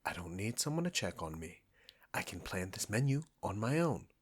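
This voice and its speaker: A man acts out a proud restaurant chef with a low voice. He is combative and sarcastic, talking straight at the listener in an audio roleplay with an irritable, condescending edge. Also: very animated, moderate pace, slight background noise. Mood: curt, dismissive.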